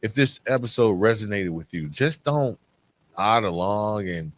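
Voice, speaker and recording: A man's voice: on the low side, expressive, measured pace. A man hosting a podcast monologue, speaking to listeners in an earnest, persuasive way with rhetorical questions. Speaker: podcast host.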